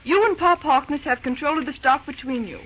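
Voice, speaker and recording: Woman acting an outspoken rich young daughter, high voice, frank, indignant, old radio play, muffled recording. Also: a little fast, natural voice. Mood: frank, scolding. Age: young adult. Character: outspoken, principled young daughter of wealthy family.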